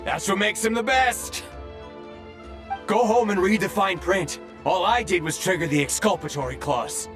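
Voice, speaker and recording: A man's voice: high-pitched, normal speed, music in the background, natural voice. An actor playing a scheming, smug henchman in a video game, with a theatrical, gloating manner. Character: scheming, smug male henchman loyal to his boss.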